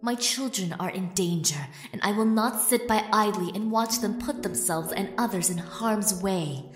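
A woman voicing a motherly monster queen in a cartoon dub, polite and composed, gentle but firm. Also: mid-range voice, moderate pace, lightly acted. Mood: resolute. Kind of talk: animated comic dub acting. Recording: long hall reverb, faint music.

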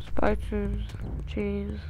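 A woman voicing playful, excitable cartoon stick-figure friends in a fan voice-over. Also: medium pitch, drawn-out words, a little hiss or hum, rough recording.

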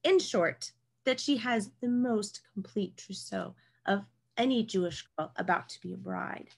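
A woman's voice: medium pitch, a little slow, gravelly. A young woman acting a fussing mother who plans her daughter's lavish wedding, in a comedy read over video call. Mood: fussy, proud. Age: young adult. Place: small room at home, indoors. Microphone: video call microphone.

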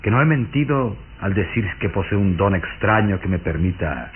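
A muffled old radio recording carries a sinister vampire count played by a man. His voice is very low, and he speaks with deliberate, courtly politeness and smooth insinuation. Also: lively intonation, slight background noise, close to an everyday voice. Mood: suave, courteous. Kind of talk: old radio drama acting.

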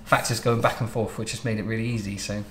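A man in his thirties from Southwest England hosting a relaxed interview, chatting and explaining at length. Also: lowish voice, fast, reverberant, a little hiss or hum. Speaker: interview host, wedding photographer. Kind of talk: casual interview. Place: home studio office, indoors.

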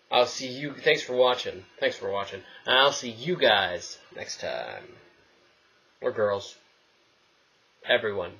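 A man's voice: mid-range voice, bright, forceful tone, husky. A young man giving casual, jokey let's play commentary, rambling to himself and the game as he plays. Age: young adult. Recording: dull lo-fi mic, faint noise.

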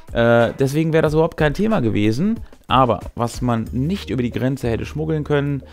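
A man's voice: medium pitch, vocal fry, darkish tone. A man in his thirties, a game master giving explanatory commentary on a tabletop game to camera. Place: small indoor room with brick wall. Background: music.

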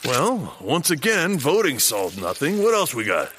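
A man's voice: fairly high pitch, wildly swooping pitch, a little slow, a bit bright, a little hiss or hum, full character voice. A man playing a dim, folksy pirate henchman with hesitant thug talk in a comic audio drama. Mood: deliberating. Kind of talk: audio comedy drama acting.

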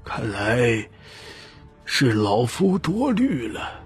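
A man voicing a shrewd old patriarch in an audiobook, calm and scheming, with deliberate, weighty delivery. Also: medium pitch, breathy edge, faint music behind, full character voice. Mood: pondering.

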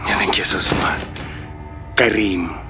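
An actor playing a cruel, condescending Russian army officer with a Russian accent in a video game cutscene. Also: male voice, lowish voice, creaky, music in the background. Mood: quiet menace. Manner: slow, menacing, condescending. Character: cruel, menacing male Russian army officer interrogating prisoners.

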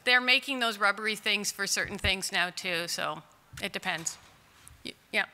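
A woman in her forties, a clay artist, answering audience questions in a chatty, candid way with a loud voice and frequent laughs. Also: mid-range voice, normal speed, a little hiss or hum. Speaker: clay artist in long-term care. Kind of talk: conference Q&A answers. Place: conference hall. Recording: distant in a large reverberant hall.

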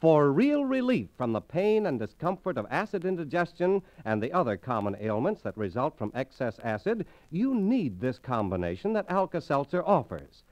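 A man's voice: a little high, very animated, moderate pace, few pauses, darkish tone, dull audio. An American man reading scripted 1930s radio advertising as an announcer. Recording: restored 1930s radio transcription disc.

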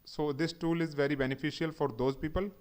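A man with a somewhat high voice narrates a screen-recorded tech tutorial. He works methodically, step by step, spelling out every command. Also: steady tone, fairly quick, a little mellow. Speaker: instructor.

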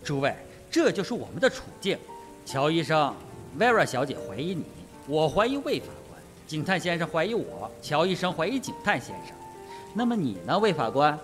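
A man's voice: a little high, very animated, moderate pace, music in the background. A man playing a sardonic, self-possessed colonel in a Mandarin radio mystery, with a mocking, provocative manner. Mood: coolly probing. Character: sardonic, self-possessed colonel suspected of murder, needling the others.

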